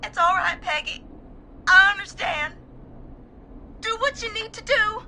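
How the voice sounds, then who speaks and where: A woman playing a grieving, shaken caller, tearful and halting, in dramatic game acting. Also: very high voice, lively intonation, sharp tone, slight background noise, exaggerated voice. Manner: halting, fragile.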